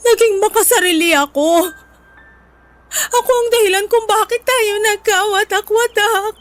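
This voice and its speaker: Very high, weeping Filipino mother. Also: measured pace, a bit bright. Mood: tearful, remorseful. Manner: pleading. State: crying. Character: remorseful, weeping middle-aged mother begging forgiveness. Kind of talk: Filipino radio drama acting.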